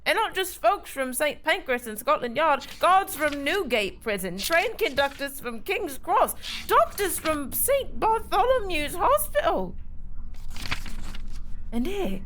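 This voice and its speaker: A woman's voice: sits high, fairly bright tone, a little hiss or hum, full character voice. A woman playing a clever, determined working-class girl detective in an audio drama, thinking aloud with dramatic deliberation.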